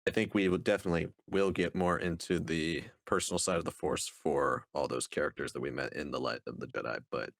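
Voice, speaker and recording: A man's voice: extremely low pitch, fairly even intonation, fairly quick, hardly any pauses, no room sound, clean studio sound. A man co-hosting a podcast, chatting casually as he reviews and discusses books. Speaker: podcast co-host.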